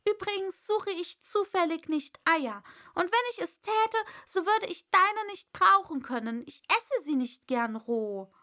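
Woman playing a storybook little girl, high, bright, earnest, polite, indignantly protesting. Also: lively intonation, a little slow, few pauses, full character voice. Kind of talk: storybook character voice.

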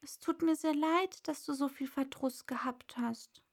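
A woman's voice: high-pitched, a little slow, pitched above the performer's own voice. A woman voicing an earnest, polite little girl in a storybook, protesting with some indignation. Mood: puzzled, polite. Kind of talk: storybook character voice.

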